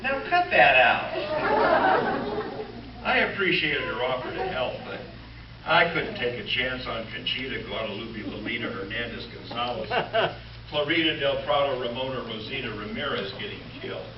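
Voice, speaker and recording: A roomy, noisy stage recording of a man playing an earnest Western lawman in an old radio comedy. His delivery is deliberate and dramatic, swinging widely in pitch as he narrates his troubles and turns exasperated. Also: lively intonation, far from the mic, dull audio, crowd noise, close to an everyday voice. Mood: puzzled, exasperated. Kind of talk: 1940s radio comedy acting.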